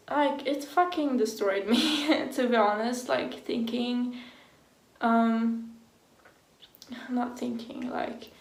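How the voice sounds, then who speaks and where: Young woman, Swedish accent, English not her first language, gravelly fry, unhurried, casual swearing, echoey room. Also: fairly high pitch. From Sweden. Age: young adult. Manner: casual, swears freely, heavy use of "like", nervous laughs. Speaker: vlogger. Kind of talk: personal confessional talk to camera.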